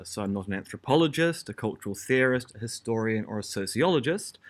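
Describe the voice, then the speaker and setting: Kiwi guy lecturing, philosopher type, calm and methodical, bit of dry humor, lots of "so" and "uh". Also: on the low side. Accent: New Zealand. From New Zealand. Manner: measured, dry humor, frequent "so" and "uh". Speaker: philosopher giving a talk. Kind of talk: academic lecture.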